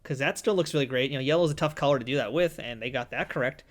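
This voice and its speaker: A man's voice: medium pitch, rapid-fire. A man in his thirties co-hosting a collectible review in a studio, chatty and jokey as he explains details at a table. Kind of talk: casual review chat. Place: indoor studio set at a table.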